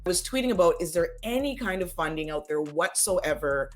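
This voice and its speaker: A woman's voice: fairly low pitch, lively intonation, a little fast, soft background music. A woman from the Caribbean, a guest in an interview, candidly recounting her path with easy laughs. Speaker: guest, front-end web developer. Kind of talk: casual interview chat.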